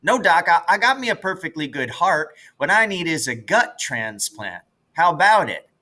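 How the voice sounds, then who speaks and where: A man's voice: on the high side, very animated, normal speed, sharp tone, pitched above the performer's own voice, heavily acted. A man voicing a grumbling, teasing country boy in a storybook, with a folksy, exasperated manner. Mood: musing.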